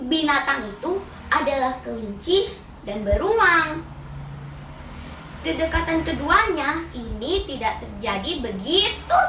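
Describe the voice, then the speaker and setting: A child of about ten reciting a children's fable as a storyteller, with deliberate, dramatic phrasing. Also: sits high, bright, forceful tone. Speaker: storytelling contest participant. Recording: roomy, distant mic, clearly noisy ambience.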